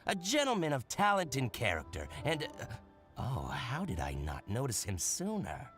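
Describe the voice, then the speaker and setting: A man's voice: medium pitch, wildly swooping pitch, moderate pace, a bit bright. A man playing a shrewd, possessive boutique owner in a video game, talking in a theatrical, haggling way. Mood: gossipy, scheming. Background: game music.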